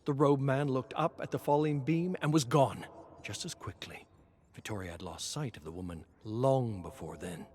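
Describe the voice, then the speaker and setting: A man narrating a scripted tale in a dramatic, brooding, theatrical way, often dropping into hushed tones. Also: mid-range voice, normal speed, a little hiss or hum.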